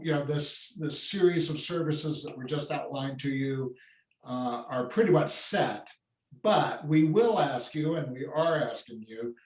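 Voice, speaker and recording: An older man addresses members of his association over a conference call, unhurried and plain, stopping to restart his sentences. His voice sits a little high and comes from far off the mic in an echoey room. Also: a little mellow. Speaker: association CEO.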